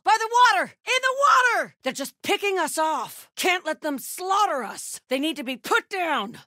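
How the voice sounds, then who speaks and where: High-pitched, fierce female cult warrior. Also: no echo, clean studio sound, heavily acted. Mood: angry, determined. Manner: urgent shouted battle calls, hushed prayerful murmurs. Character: fierce devout female cult warrior, fanatical enemy fighter. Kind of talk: video game combat voice lines.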